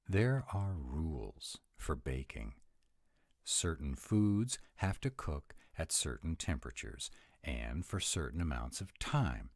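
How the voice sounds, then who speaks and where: Grumpy tsundere were-dragon played by a man, roleplay audio. Bass-deep with lively swings, fussy about rules, dry close sound. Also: no echo, heavily acted. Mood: exasperated. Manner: fussy, exasperated, drawn-out emphatic phrasing. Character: grumpy, rule-bound male were-dragon, exasperated tsundere boyfriend.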